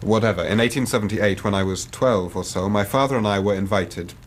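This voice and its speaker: An actor playing an earnest, troubled young English gentleman, narrating in a measured, formal way for a radio drama. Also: on the low side, slight background noise, lightly acted. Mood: troubled, reflective. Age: young adult.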